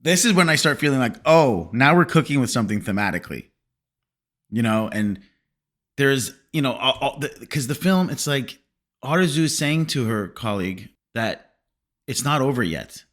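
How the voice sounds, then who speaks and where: A man whose first language is Farsi, hosting a casual film discussion podcast in an animated way and often correcting himself mid-sentence. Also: mid-range voice, normal speed. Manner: animated, laughing, self-correcting.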